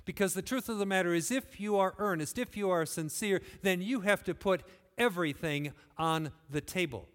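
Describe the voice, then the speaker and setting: A man from West Michigan preaching a sermon in a church, earnest, pushing phrases up and then letting them fall quiet. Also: high-pitched, normal speed, hardly any pauses. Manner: earnest, rising and falling emphasis, quiet closing phrases. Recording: long hall reverb.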